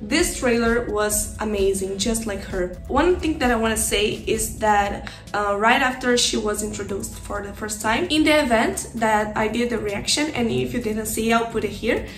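A young woman chatting casually and excitedly, as a streamer does in a reaction video. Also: a little high, moderate pace, reverberant. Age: young adult. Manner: excited, gushing. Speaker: YouTuber and streamer. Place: small decorated streaming room at home.

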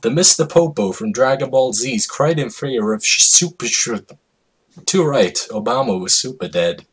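A man giving a mock-dramatic reading of a comedic story in an over-the-top, deliberately absurd way, drawing out words. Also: medium pitch, lively intonation, slightly rough, rough recording.

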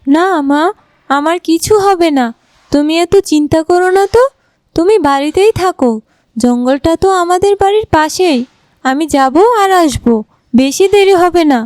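Woman voicing a high-pitched dutiful village daughter. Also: normal speed, a little mellow. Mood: reassuring. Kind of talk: Bangla cartoon fairy tale dub.

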